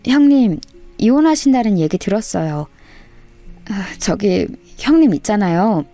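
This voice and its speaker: A woman voicing a composed younger sister-in-law who speaks deliberately in an audio story. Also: mid-range voice, sing-song, warm tone, soft background music. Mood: calm confession.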